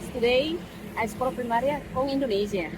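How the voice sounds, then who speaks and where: A woman's voice: sits high, a little fast, a little mellow. A woman from East Timor giving brief, careful answers in a street interview. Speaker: local interviewee. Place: outdoors on a city street. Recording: noisy street with crowd.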